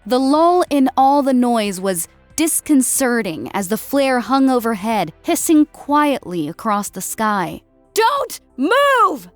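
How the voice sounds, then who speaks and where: A woman narrates an audiobook in a dramatic, scripted style. Her voice sits fairly high, and the recording is dry with faint music underneath. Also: lively intonation, a little slow, few pauses, darkish tone, no room sound.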